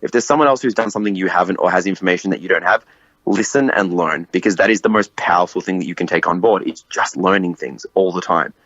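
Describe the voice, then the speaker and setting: A man's voice: low voice, quick pace, a bit bright, no echo, lo-fi sound. A man in his late twenties hosting a podcast, chatting casually and animatedly, swearing and laughing often. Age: 20s. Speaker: podcast host. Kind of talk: casual conversation.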